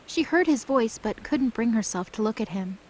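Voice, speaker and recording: A woman's voice: a little high, lively intonation, normal speed, a little mellow, low-quality recording. A woman reading scripted story narration as the narrator of a mythology audio drama. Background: music.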